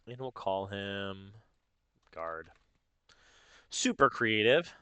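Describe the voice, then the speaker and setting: Low, clean-voiced man giving a tutorial. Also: pushed and bright, clear, resonant tone, no echo. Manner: informal, step-by-step, thinking aloud. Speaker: tutorial host. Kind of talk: casual software tutorial narration.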